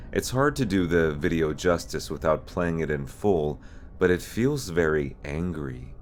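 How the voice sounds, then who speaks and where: A man's voice: extremely low pitch, slight background noise. A man hosting an explainer series in a semi-scripted narration style. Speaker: host of an analog horror explainer series.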